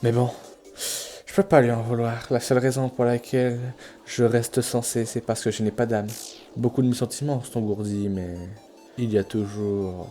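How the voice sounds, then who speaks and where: A man playing a frightened, bitter, proud young male creature in a fan comic dub, speaking in a dramatic, halting, stammering way. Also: mid-range voice, steady tone, warm tone, faint music behind, lightly acted. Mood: gloomy, resigned.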